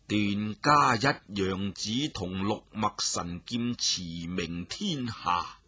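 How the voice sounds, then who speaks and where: A man voicing an expressionless male warrior who speaks in a flat monotone with no rise or fall, slow and low. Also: breathy edge, full character voice. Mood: cold, sneering. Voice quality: flat, monotone, drawn-out delivery.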